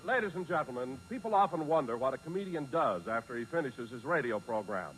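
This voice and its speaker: A man's voice: medium pitch, animated, fast, fairly bright tone, faint music behind, lightly acted. An American man playing an announcer who narrates in 1940s radio style. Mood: formal narration. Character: male radio show announcer. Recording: dull, faintly noisy 1940s radio recording.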